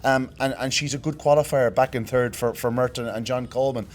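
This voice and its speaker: A hoarse Irish man presenting a racing review, going quickly through race times and details. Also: medium pitch, fairly even intonation. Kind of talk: post-race review and analysis.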